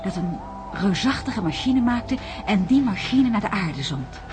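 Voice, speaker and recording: An actress playing an earnest, loyal confidante who questions and reassures in a science-fiction radio drama. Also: female voice, medium pitch, big pitch swings, measured pace, music in the background. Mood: probing. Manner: reassuring, probing. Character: earnest, loyal female confidante gently questioning a troubled man. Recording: noisy radio recording with background ambience.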